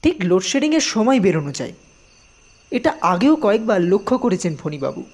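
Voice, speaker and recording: A woman narrating a scripted audio story in an expressive storytelling manner. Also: medium pitch, a little fast.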